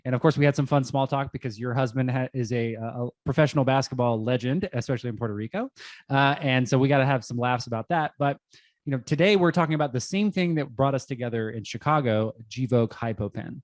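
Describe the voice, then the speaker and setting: A man's voice: mid-range voice, dry recording. Friendly male host with a dry sound. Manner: friendly, measured interviewer. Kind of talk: interview podcast.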